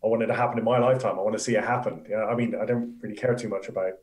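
A man's voice: fairly low pitch, steady tone, rapid-fire, darkish tone, echoey room. A man with an English accent, an astrophysicist guest chatting eagerly in a live Q&A and laughing often. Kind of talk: casual live Q&A chat.

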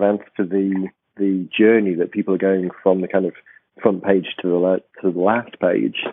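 A man hosting a podcast, with a croaky voice and a rambling, restarting way of talking. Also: on the low side, no room sound. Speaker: podcast host. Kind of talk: casual podcast chat. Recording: tinny phone-like line.

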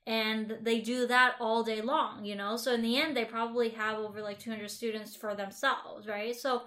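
A woman's voice: a little high, flat delivery, moderate pace, fairly bright tone. Chatty woman, Vietnamese native speaker. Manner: chatty, questioning, frequent fillers. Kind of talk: casual conversation.

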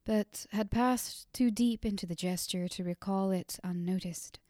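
A woman narrates an audiobook in a soft, breathy whisper. Her pace is very slow and her tone mellow. The recording is close and dry, with no room sound. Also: mid-range voice, no room sound.

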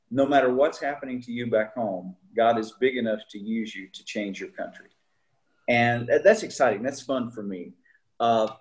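Middle-aged guy teaching at a seminary, stops and restarts a lot, mid pitch. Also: a little mellow, poor audio. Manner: halting, many fillers and restarts. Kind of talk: casual interview talk.

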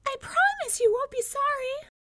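A tiny female mouse from a children's storybook. The voice is squeaky and extremely high, with a sweet, eager lilt. She sounds devoted and singsong, swinging freely in pitch. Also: animated, moderate pace, sharp tone, heavily acted. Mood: happy.

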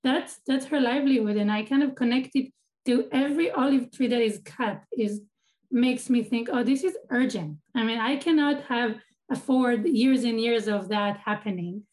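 A woman's voice: fairly high pitch, normal speed, a little mellow, poor audio. A woman from Israel whose first language is Hebrew, an academic and activist giving thoughtful interview answers and often restarting her sentences.

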